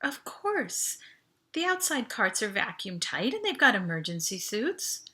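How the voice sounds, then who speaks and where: A woman voicing an awkward, earnest, lovestruck teenage boy in a sci-fi storybook, halting and self-conscious. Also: mid-range voice, sing-song, sharp tone. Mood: flustered, reassuring.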